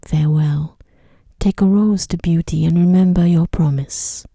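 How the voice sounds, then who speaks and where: A woman voicing a commanding male beast in a fairy tale, reading his stern orders in a hushed, measured way. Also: on the low side, steady tone, gravelly, slightly rough, no echo, clean studio sound, heavily acted.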